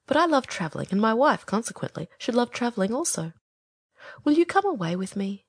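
A woman voicing a small, vain, impatient male swallow from a storybook, brisk and opinionated. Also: a little high, sing-song, husky, no echo, studio quality, heavily acted. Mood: haughty, indignant. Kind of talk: storybook character reading.

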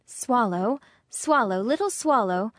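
A woman playing a noble, sorrowful statue prince in a storybook, speaking gently, pleadingly and in measured phrases. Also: on the high side, expressive, no echo, studio quality. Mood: sorrowful, earnest. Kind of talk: acted storybook dialogue.

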